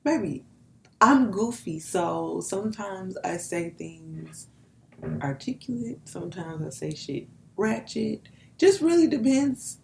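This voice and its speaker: A woman guest with a husky voice, playful and animated in sassy banter. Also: mid-range voice, slow pace, slight background noise. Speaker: podcast guest. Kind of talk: casual chat.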